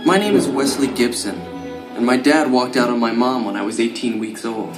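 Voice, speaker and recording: A man's voice: mid-range voice, moderate pace, close to an everyday voice. An actor playing an anxious, self-pitying young man in a motion comic, with a whiny, nervous way of talking. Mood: bitter, self-pitying. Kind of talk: motion comic voice acting with narration. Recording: roomy sound with music underneath.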